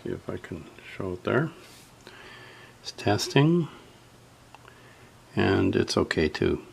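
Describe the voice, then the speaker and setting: A man with a fairly low, gravelly voice talks through a hands-on repair. He speaks very slowly and haltingly, thinking aloud as he works. There is faint noise behind him. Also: big pitch swings. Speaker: host of a radio repair video. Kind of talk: unscripted hands-on repair tutorial.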